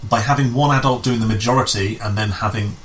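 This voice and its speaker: A man telling anecdotes casually as an interview guest, in a halting way that often rewords mid-sentence. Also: on the low side, a bit bright, low-quality recording. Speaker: interview guest, amateur pantomime director. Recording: clear background noise.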